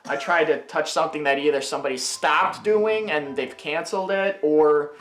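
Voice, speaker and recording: A man's voice: on the high side, moderate pace, reverberant, a crowd in the background. A man giving casual, unscripted commentary on his own series, rambling and often interrupting himself. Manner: rambling, chatty, self-interrupting. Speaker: video creator giving commentary.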